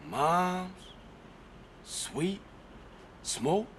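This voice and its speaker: An exasperated young street gangster in a video game, sharp and fairly high for a man. He swings up and down in pitch and gets defensive, over faintly noisy game audio. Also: expressive, drawn-out words, exaggerated voice. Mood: subdued. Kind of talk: video game cutscene acting. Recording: game audio with noise underneath.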